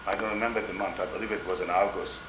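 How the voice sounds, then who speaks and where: Old polish-born guy telling his story, deep voice, stops n repeats a lot, roomy noisy recording. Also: fairly even intonation. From Poland. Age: older. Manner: halting, slow, repeats words and restarts. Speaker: interviewee, Holocaust survivor. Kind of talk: oral history testimony. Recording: echoey room with background noise.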